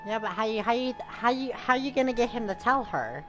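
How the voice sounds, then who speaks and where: A woman's voice: on the high side, animated, fairly quick, fairly bright tone. A woman streamer giving casual gameplay commentary in a chatty way, talking back to the characters. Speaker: game streamer. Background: game music.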